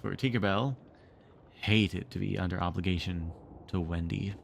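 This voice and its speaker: A man narrating a storybook read-along with expressive, theatrical storytelling. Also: low-pitched, normal speed, gravelly, a little hiss or hum. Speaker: streamer reading a book aloud.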